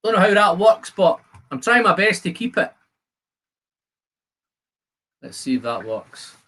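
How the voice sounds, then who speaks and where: Higher-pitched Scottish man in his fifties. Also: sing-song, moderate pace, sharp tone. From Scotland. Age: 50s. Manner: chatty, addresses viewers by name. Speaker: host of a whisky live stream. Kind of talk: casual live-stream chat to viewers.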